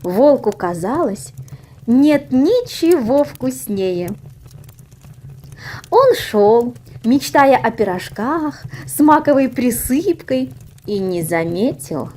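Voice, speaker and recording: A woman reading a fairy tale aloud as expressive, scripted storybook narration. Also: high voice, slow pace, a little hiss or hum. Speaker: audio fairy tale reader.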